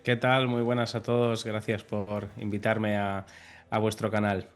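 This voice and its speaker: Low-voiced Spanish man in his thirties. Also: little pitch movement, unhurried, faint music behind. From Spain. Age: 30s. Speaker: airline pilot guest. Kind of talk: relaxed interview storytelling.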